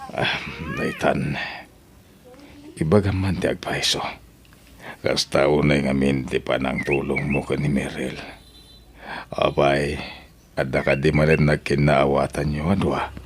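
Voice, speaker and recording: A man's voice: a bass voice, steady tone, unhurried, pauses often, slight background noise. An actor playing a doting but firm older father and grandfather in an audio drama, with an Ilocano accent. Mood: serious.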